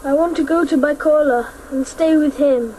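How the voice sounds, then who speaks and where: A woman playing an earnest, stubborn young boy in despair, acting slowly and plaintively in a radio play. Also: high voice, mellow, natural voice, poor audio. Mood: despairing, resolute. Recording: faint hiss, old radio play.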